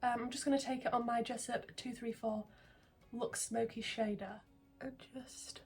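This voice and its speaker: A woman who is getting over a cold, chatting excitedly through a makeup vlog at home, full of ums and sometimes dropping to a near-whisper. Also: on the high side, moderate pace, faint music behind. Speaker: makeup vlogger. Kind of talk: casual beauty vlog chat. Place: indoors at home, filming makeup at a mirror.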